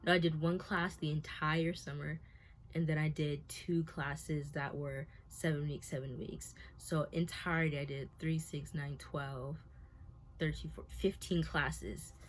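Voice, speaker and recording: Nervous young woman with a low voice. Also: fairly even intonation, a little slow, slight background noise. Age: 20s. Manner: nervous, rambling and confessional, many fillers. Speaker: vlogger. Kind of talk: candid talking-to-camera update.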